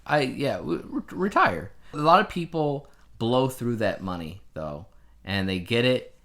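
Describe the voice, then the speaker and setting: A man's voice: mid-range voice, expressive, measured pace, fairly bright tone. A man co-hosting a podcast, chatting casually in a rambling, opinionated way about the news. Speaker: podcast co-host.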